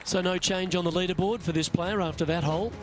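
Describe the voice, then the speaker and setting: A man's voice: sits high, fairly even intonation, fast, music in the background, close to an everyday voice. A man voicing a composed golf broadcast anchor in a video game, calling play as lead commentator. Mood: composed, upbeat.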